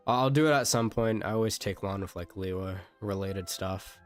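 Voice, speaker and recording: Male game streamer, lowish voice, off-the-cuff and rambling, talking to himself over background music. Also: moderate pace, smooth. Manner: rambling, thinking aloud. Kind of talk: casual live gameplay commentary.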